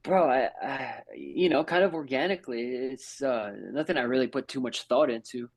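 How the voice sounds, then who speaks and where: A man in his thirties from Brazil, raised in New York, a native Portuguese speaker chatting in a relaxed, rambling way as a guest on a podcast. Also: mid-range voice, moderate pace, dry recording. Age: 30s. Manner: laid-back, rambling, lots of fillers and "bro", "man". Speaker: guest, house flipper. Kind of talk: casual podcast chat.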